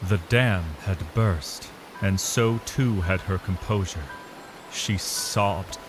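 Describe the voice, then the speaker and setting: Low voiced guy narrating a dramatic story, slow n solemn, cinematic music behind. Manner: measured, grave, pausing between short phrases.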